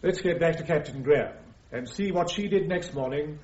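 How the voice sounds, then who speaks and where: An actor playing a formal, coolly sceptical British military prosecutor in a radio drama, putting precise, pointed questions. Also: male voice, a little high, brisk, darkish tone, natural voice. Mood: probing. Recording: roomy, dull old radio recording.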